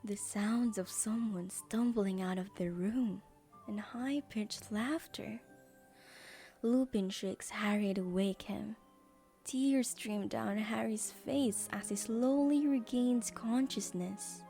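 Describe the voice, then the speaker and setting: A woman narrator reads a scripted audio drama at an unhurried pace. Her tone is mellow, and faint music plays behind her storytelling. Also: mid-range voice.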